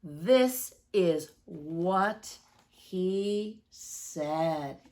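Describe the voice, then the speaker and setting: A woman reading a rhyming story aloud to young children in an expressive, deliberate storytime manner. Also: fairly low pitch.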